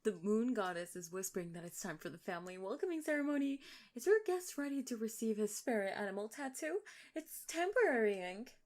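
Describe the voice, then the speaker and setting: A woman's voice: fairly high pitch, lively intonation, a little fast, darkish tone, natural voice. A woman voicing an eccentric middle-aged mystical mother in an audio roleplay, breezy, doting and theatrical. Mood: excited, airy. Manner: airy, theatrical, matter-of-fact about the occult. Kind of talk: scripted audio roleplay acting.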